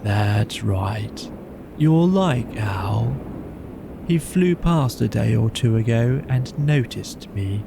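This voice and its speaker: Man voicing a slow, gloomy old donkey. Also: mid-range voice, vocal fry, noisy background. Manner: slow, doleful, deadpan. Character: gloomy old male donkey, mournful and self-pitying.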